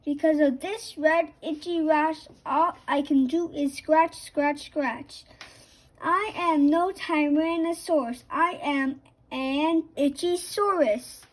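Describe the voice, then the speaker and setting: Lady doing a sad itchy t-rex for a kids book, plaintive, high voice, slow. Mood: wailing misery. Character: miserable, itchy male Tyrannosaurus, wailing and grumpy. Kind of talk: children's storybook read-aloud.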